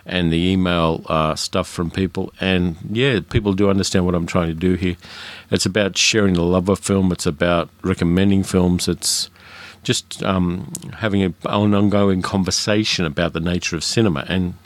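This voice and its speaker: Deep-voiced Australian man hosting a podcast. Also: steady tone, normal speed, dry recording. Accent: educated Australian. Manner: frank, chatty, with fillers and restarts. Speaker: podcast host. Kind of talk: casual solo podcast monologue.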